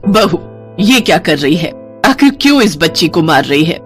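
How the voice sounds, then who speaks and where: A woman's voice: on the low side, big pitch swings, moderate pace, faint music behind. A woman playing a caring elderly village mother-in-law in a storybook tale, speaking earnestly. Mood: scolding.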